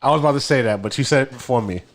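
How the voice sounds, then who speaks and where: A man's voice: medium pitch, racing, slight background noise. Laid-back joking man. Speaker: co-host. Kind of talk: casual chat.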